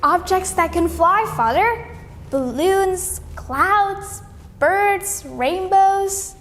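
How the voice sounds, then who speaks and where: A woman's voice: very high voice, lively intonation, very slow, fairly bright tone, long reverb tail, voice pushed up, exaggerated voice. A woman voicing a dreamy, sensitive young boy in a storybook, with an eager lilt.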